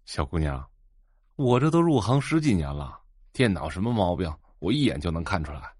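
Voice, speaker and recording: An actor playing an eager, fawning young computer shop owner in an audio drama, with an excitable, ingratiating manner. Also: male voice, low voice, moderate pace, breathy edge, dry recording, clean studio sound, heavily acted. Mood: boastful. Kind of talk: Chinese audio drama acting.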